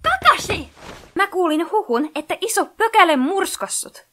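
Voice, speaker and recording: A woman's voice: very high voice, very animated, fairly bright tone, a little hiss or hum, full character voice. A woman playing a prim, suspicious teenage girl fighter in an anime parody dub. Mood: questioning.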